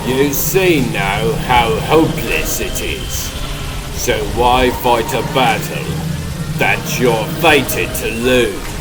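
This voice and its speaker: Villain sorcerer, male, played by a man: imperious and menacing, very drawn-out pompous lines, music clearly underneath. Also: mid-range voice, natural voice. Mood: gloating. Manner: pompous, grandiose, drawn-out commanding delivery. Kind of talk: dramatic comic dub acting. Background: dramatic music.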